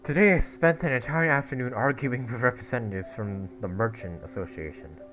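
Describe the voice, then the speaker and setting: A man's voice: mid-range voice, brisk. A man playing a game story quest and chatting casually, muttering and reading game text aloud with little stumbles. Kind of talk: casual gameplay commentary. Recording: loud game music behind.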